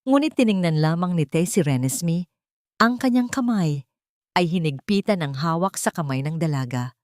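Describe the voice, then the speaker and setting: A woman's voice: on the low side, expressive, normal speed, a little mellow, no room sound, clean studio sound. A woman reading dramatic scripted narration of a love story.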